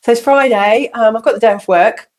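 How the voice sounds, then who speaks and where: A woman vlogger from England, croaky from a cold, chatting casually with fillers and small laughs. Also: a little high, a little fast, soft background music. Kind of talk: casual vlog.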